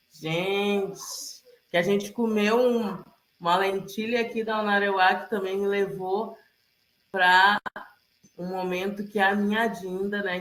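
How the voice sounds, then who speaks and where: A woman, telling stories casually and haltingly over a video call. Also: mid-range voice. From Rio Grande do Sul, Brazil. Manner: halting, often checks in with listeners. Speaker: community elder and presenter at a cultural gathering. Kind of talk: casual oral storytelling. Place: online video call, roomy indoor space. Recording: roomy echo on a video call.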